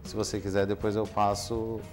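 A man, a chef calmly explaining a recipe on a TV cooking show. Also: on the low side, moderate pace. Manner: calm, explanatory, ends phrases with "né?". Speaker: chef guest. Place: TV studio kitchen set. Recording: studio recording with music bed. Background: background music.

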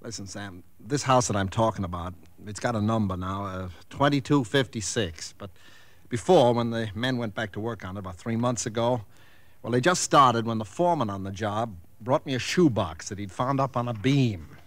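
An actor playing a troubled real estate agent, stammering and earnest, in 1940s radio drama style. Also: male voice, lowish voice, moderate pace, no room sound, natural voice. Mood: uneasy, hesitant. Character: nervous, troubled male real estate agent confiding in friend.

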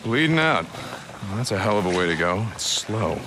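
A low-pitched, gruff biker in a video game scene. He drawls with cold, taunting sarcasm and a menacing edge. Loud outdoor and engine noise surrounds the voice. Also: sing-song, normal speed. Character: gruff, menacing outlaw biker man, coldly sardonic. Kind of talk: naturalistic video game cutscene acting.

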